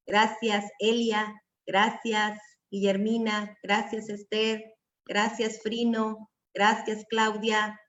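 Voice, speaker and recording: A woman with a Mexican accent and a mid-range voice moderates a panel discussion online. The audio is dull and lo-fi, like a video call. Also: mid-range voice. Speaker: secondary school teacher.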